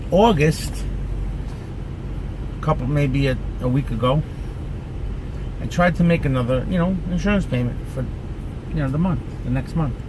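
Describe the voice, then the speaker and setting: A man in his forties telling a chatty, exasperated story to his viewers from inside his car. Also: mid-range voice. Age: 40s. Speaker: vlogger. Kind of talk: casual rant to camera. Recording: steady air conditioning hum.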